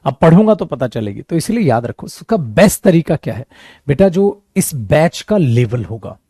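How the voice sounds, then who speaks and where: A man teaching an English coaching class for competitive exams, talking to students as "beta" and "mere dost" and acting out their doubts in a lively way. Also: on the high side, normal speed, breathy edge, no echo, studio quality.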